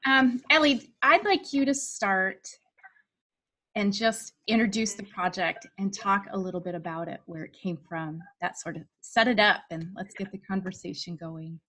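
A woman's voice: medium pitch, normal speed, fairly bright tone. A woman hosting an interview over a video call, welcoming her guests in an upbeat way. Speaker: host.

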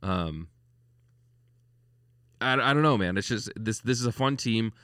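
A man's voice: fairly low pitch, lively intonation, unhurried, fairly bright tone, no echo, clean studio sound. A man hosting a casual sports podcast who rambles with lots of restarts and laughs easily. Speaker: podcast host.

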